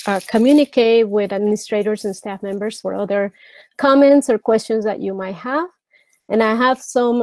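A middle-aged woman chairing a school board meeting from home over a video call, speaking earnestly with halting restarts. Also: mid-range voice, darkish tone, no room sound. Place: home room with brick wall. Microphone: earbud headset on video call.

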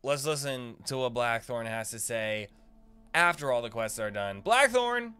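A man in his thirties streams a video game with casual, jokey commentary. His voice is mid-pitched and close on the mic, full of slang, with music clearly playing behind him. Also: expressive, measured pace, sharp tone. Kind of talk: casual livestream gameplay commentary. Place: small indoor room, streaming setup. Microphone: close desk mic on boom arm.

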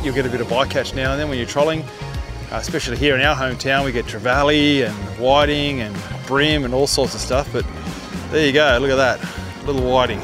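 A middle-aged Australian man hosts a fishing vlog in a mid-range voice. He explains technique in an informal, matey way, as if to a friend. He is speaking outdoors on a small boat, with music behind him. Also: mid-range voice. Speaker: fishing show host. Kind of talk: casual instructional fishing commentary.

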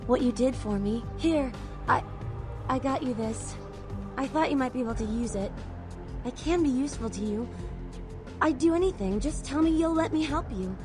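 A woman's voice: fairly high pitch, normal speed, pauses often, music in the background, natural voice. A woman playing an eager, clingy young devotee in a video game, nervous and earnest as she pleads. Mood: pleading.

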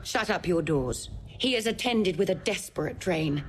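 An actress playing a cold, hard-hearted princess with an imperious manner in a Shakespearean audio drama. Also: female voice, fairly low pitch, expressive, a little hiss or hum, close to an everyday voice. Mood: stern. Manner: measured, imperious.

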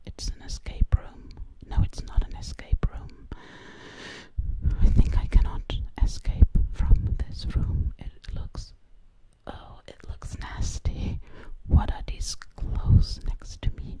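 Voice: slow pace, rough recording. A whispering ASMR roleplay voice, asking frightened questions to itself and repeating single words over and over. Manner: frightened, breathless questions to themselves.

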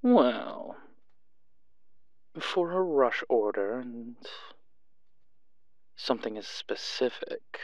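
Man acting a slow, suave, flirtatious club owner. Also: medium pitch, lively intonation, no room sound. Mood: smooth, assured. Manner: purring, unhurried, teasing. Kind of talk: audio roleplay acting.

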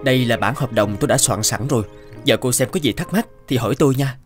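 An actor playing an earnest, polite young grieving husband in a cartoon. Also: male voice, mid-range voice, fast talker, over music, lightly acted. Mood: businesslike. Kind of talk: Vietnamese cartoon dubbing.

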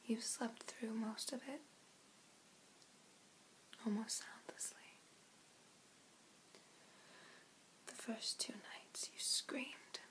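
A young woman playing a gentle, apologetic vampire in an ASMR roleplay, whispering slowly and deliberately with pauses. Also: fairly high pitch, fairly even intonation, low-quality recording. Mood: grave, confessing. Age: young adult. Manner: slow, hushed, deliberate, with pauses. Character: gentle, apologetic female vampire rescuer, ancient but youthful. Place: small room indoors. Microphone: phone or webcam at arm's length.

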